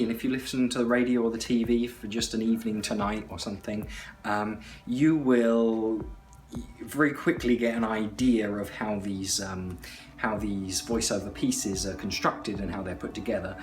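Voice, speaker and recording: A man hosting an audio tutorial, explaining things informally in a conversational, rambling way and often repeating himself. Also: on the low side. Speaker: host of an audio tutorial channel. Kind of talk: informal explainer talk to camera.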